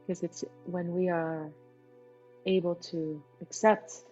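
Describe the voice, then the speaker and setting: A woman leading a guided meditation as its teacher, talking in an unhurried way with pauses between short phrases. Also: lowish voice, level tone, dark tone. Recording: dull lo-fi sound, music behind. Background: music.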